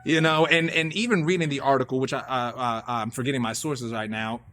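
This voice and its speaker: A man's voice: mid-range voice, steady tone, brisk, fairly bright tone. A man hosting a radio show, giving casual commentary on hot news topics in a theatrical, emphatic way and repeating phrases for effect. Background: music under the talk.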